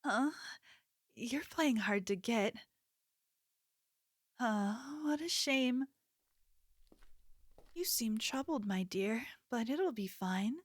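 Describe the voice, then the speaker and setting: A woman's voice: fairly high pitch, lively intonation, extremely slow, pauses often, dry recording, clean studio sound, exaggerated voice. A woman playing an obsessive, possessive young yandere in an ASMR roleplay, speaking intimately and coaxingly, like a lover with menace underneath. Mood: sweetly menacing.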